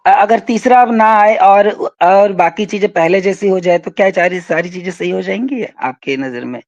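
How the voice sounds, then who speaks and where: Dull call sound, man, high-pitched, Indian accent, polite, placating, questioning mediator. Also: moderate pace, no room sound. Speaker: mediator in a dispute.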